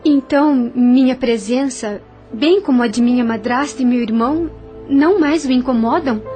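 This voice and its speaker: An actress in an audio novel playing a proud, frank but kindly young widow on a colonial farm, speaking formally and directly. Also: female voice, sits high, normal speed, dark tone, soft background music, natural voice. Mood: guarded, earnest.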